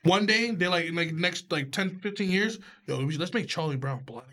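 A man's voice: high voice, moderate pace, pushed and bright. A man in his early twenties co-hosting a casual group debate, opinionated, with slang and swearing. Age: early 20s. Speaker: podcast co-host.

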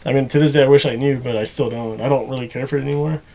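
A young man, a goalkeeper guest on a podcast, telling stories in a rapid, rambling way full of "like" and "you know". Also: medium pitch, fairly even intonation, a crowd in the background. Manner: rapid, rambling, filler-heavy storytelling. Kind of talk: casual chat.

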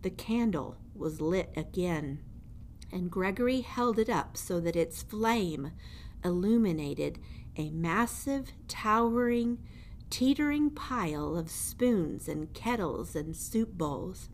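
Slow-paced woman reading a storybook. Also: on the low side, lively intonation. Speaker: teacher reading aloud. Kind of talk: expressive children's storybook narration. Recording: noticeable background noise.

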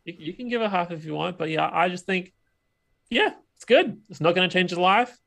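A man's voice: high voice, lively intonation, brisk, creaky, pushed and bright. A man co-hosting a podcast, talking casually and conversationally about films. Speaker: podcast co-host. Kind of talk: casual film chat.

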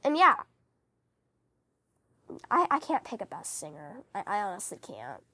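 A woman hosting a casual book-fan podcast, chatting in a rambling, animated, giggly way, her voice scratchy from a cold. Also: mid-range voice, a little slow, slightly rough. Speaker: podcast host.

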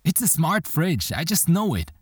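A man's voice: fairly high pitch, sing-song, normal speed, slightly rough, no room sound, studio quality. A man playing a kind, eager robot fridge who loves cooking, in a children's audio drama. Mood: earnest, eager.

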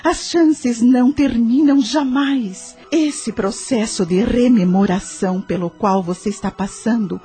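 An actress with a Brazilian accent playing a patient, wise spirit mentor in a radio drama, measured, reassuring and instructive. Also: female voice, fairly high pitch, dark tone, slightly rough, soft background music.

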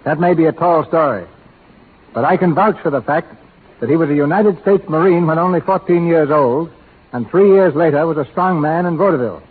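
A man's voice: on the high side, fairly quick, slight background noise. A man hosting a 1930s radio broadcast, presenting from a script in a formal, florid, showman-like manner. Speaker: radio host and announcer. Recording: tinny, muffled 1930s radio recording.

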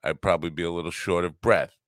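Older guy rambling on his podcast, real deep grumbly voice, dry and wry. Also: fairly even intonation, fast, no echo. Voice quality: grumbly and low. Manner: wry, self-mocking. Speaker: podcast host. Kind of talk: rambling solo monologue.

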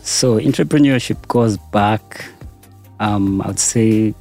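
A man's voice: lowish voice, takes it slowly, gravelly, dark tone, faint music behind. A Ugandan man in his forties answering interview questions about his work, with a hesitant manner full of fillers. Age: 40s. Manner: hesitant, many fillers and restarts. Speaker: interview guest, entrepreneur. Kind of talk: casual interview conversation.

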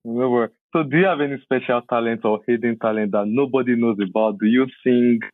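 A man hosting a podcast interview who asks questions quickly and gives encouraging praise. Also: mid-range voice, dry recording. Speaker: podcast host. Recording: tinny phone-like line.

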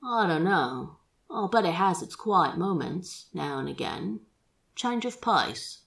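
A woman's voice: fairly low pitch, expressive, slow pace, vocal fry, a bit bright, slightly rough, no room sound, full character voice. A woman playing a sardonic, sentimental male demon with a loose drawl in an acted audio story. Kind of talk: audio fan fiction character acting.

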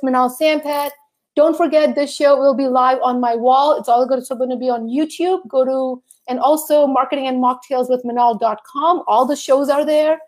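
A woman in her thirties hosting a live podcast interview, talking in a quick, energetic, chatty way. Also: high voice. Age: 30s. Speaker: podcast host. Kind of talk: casual live-stream interview.

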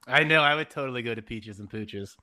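A man chatting casually as a podcast guest, joking with wry, deadpan asides. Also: mid-range voice, brisk. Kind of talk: casual comedic chat.

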